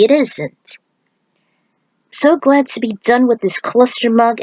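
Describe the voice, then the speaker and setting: A woman's voice: medium pitch, dry recording, poor audio. Woman narrating in a dry, close recording. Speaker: narrator and author of a fan fiction series. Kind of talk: scripted narration with casual outro remarks.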